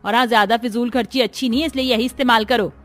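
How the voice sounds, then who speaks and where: A woman voicing a stingy, bossy older mother-in-law in a family cartoon, with a brisk, scolding manner. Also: fairly high pitch, fairly even intonation, lightly acted. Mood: bossy, impatient. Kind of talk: Hindi family cartoon storytelling.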